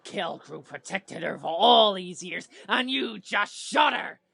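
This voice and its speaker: An actor playing a timid, whiny young pirate crewman in an audio drama, with a strained, raspy voice. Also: high voice, lively intonation, takes it slowly, bright, forceful tone, no room sound, exaggerated voice. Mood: defiant. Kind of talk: theatrical audio drama dialogue.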